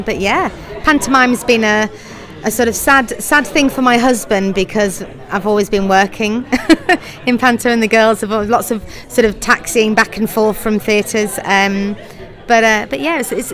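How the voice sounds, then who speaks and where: A woman's voice: medium pitch, fairly bright tone. A middle-aged woman, a pantomime writer, director and actor, answering interview questions in a chatty, effusive way and laughing easily.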